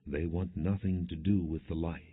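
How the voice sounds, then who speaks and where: Low, husky man on phone-like audio. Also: fairly even intonation, normal speed. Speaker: audiobook Bible reader. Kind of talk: scripted scripture narration, non-dramatized. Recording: muffled, phone-like narrow-band audio.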